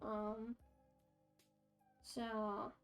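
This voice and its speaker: A woman's voice: a little high, completely flat delivery, extremely slow, faint music behind. A young French Canadian woman with a French Canadian accent, chatting casually on a livestream, rambling with lots of fillers and restarts. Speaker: streamer.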